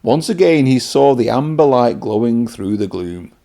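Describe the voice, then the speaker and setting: A man narrates a children's storybook in a mellow voice with a touch of gravel. His pitch moves up and down in an animated way, shaped for young listeners. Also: moderate pace. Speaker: children's read-aloud presenter and narrator.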